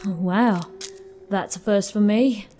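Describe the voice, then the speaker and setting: A woman's voice: mid-range voice, slow, mellow, soft background music, natural voice. A woman playing a no-nonsense police officer on guard duty in an audio drama. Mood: polite, impressed. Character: brisk, no-nonsense policewoman guarding a crime scene.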